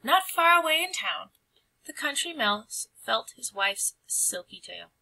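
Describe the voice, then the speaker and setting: A woman reading a children's storybook aloud in an expressive, animated and carefully phrased narration. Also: fairly high pitch, unhurried, gravelly, poor audio. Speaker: storybook reader.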